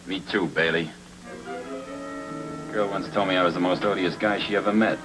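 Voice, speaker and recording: Male actor, deep voice, smug gangster, taunting, wry, controlled menace, 1940s noir film, music in background. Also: steady tone, normal speed, natural voice. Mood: wry, controlled threat. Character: smug, menacing male gang boss, mockingly amused. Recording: old film soundtrack with music underneath.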